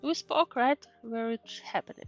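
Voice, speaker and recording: A woman's voice: on the high side, unhurried. A woman streaming a game playthrough, reacting casually with short interjections and reading dialogue aloud. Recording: dull band-limited mic, game music faintly behind. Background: faint game music.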